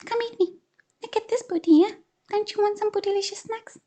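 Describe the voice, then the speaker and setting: A woman voicing a small, timid male mongoose who calls himself a noble prince, speaking in a squeaky voice. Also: fairly quick, warm tone. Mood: teasing. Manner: squeaky, pleading.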